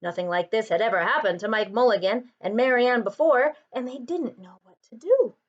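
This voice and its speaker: A woman reading a children's picture book aloud, with expressive and deliberate phrasing. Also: on the high side, muffled recording. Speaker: storybook reader.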